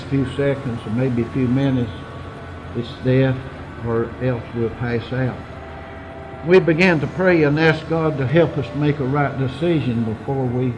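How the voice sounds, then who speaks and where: An older man, a war veteran, giving personal testimony in an earnest, deliberate way with dramatic pauses. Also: mid-range voice, noisy background. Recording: music under the voice.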